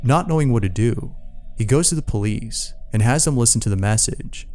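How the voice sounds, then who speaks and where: A man's voice: medium pitch, expressive, normal speed, darkish tone. A man narrating scary mystery stories from a script, as the narrator of a video. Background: music.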